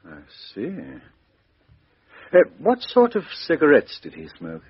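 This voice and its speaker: An actor playing a brisk, courteous British police inspector questioning people in a 1950s radio mystery. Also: male voice, fairly high pitch, huge pitch swings, breathy edge, dry recording, exaggerated voice. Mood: businesslike. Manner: businesslike, questioning, hesitant fillers. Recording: muffled 1950s radio drama recording.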